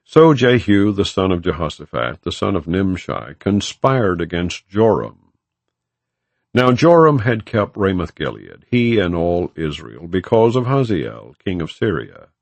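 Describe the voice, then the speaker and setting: Male audiobook narrator, low pitch, expressive intonation, solemn scripture reading, measured phrasing. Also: creaky, no echo.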